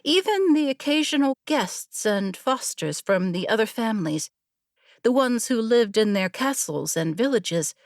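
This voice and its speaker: A woman narrating a scripted story as an audiobook, in a measured, literary storytelling manner. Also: a little high, sing-song, dry recording, studio quality.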